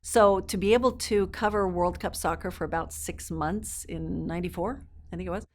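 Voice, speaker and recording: A woman's voice: lowish voice, normal speed, slight background noise. A woman in her fifties who grew up in South America, an interview guest telling stories in an upbeat, fluent way with a few ums. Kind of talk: casual interview chat.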